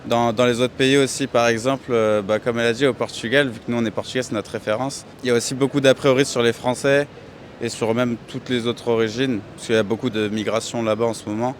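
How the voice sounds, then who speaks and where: A young-sounding man from France talks in a relaxed, casual way, with plenty of fillers. His voice sits at a middle pitch over faint street noise. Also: quick pace.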